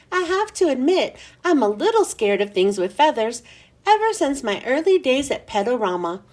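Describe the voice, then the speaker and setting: A woman reads a children's chapter book aloud with a high, bright voice. Her pitch swoops up and down in an expressive, sing-song storytelling manner. She keeps a steady read-aloud style.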